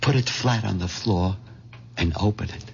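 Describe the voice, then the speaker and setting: An actor playing a mild, hen-pecked middle-aged bank clerk turned nervous plotter, stammering and hesitant, in 1970s radio drama style. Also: male voice, on the low side, normal speed, vocal fry, noisy background. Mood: brisk, tense. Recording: dull lo-fi 1970s radio recording.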